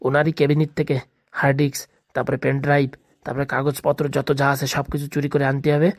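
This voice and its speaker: An irritable male crook boss acted by a woman in a cartoon comedy, scolding in a lowish, very quick voice. The slangy grumbling is recorded dry and close. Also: little pitch movement, no room sound. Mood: instructing. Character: irritable bossy male thief, scolding, superstitious.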